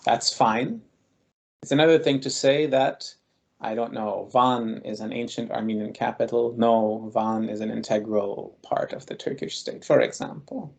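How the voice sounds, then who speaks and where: A man speaking as a guest scholar in an interview, explaining at length in a measured, thoughtful, lecturing way. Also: mid-range voice, steady tone, gravelly, darkish tone.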